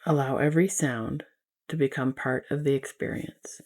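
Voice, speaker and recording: A woman leading a guided yoga nidra meditation as a teacher, giving gentle, soothing instructions. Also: a bass voice, fairly even intonation, moderate pace.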